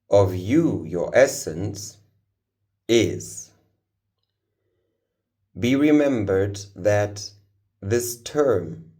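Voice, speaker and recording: Very slow, low-voiced man guiding meditation. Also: darkish tone. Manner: halting short phrases with long pauses, solemn. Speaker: spiritual guide leading a meditation. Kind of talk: guided meditation, channelled spiritual talk.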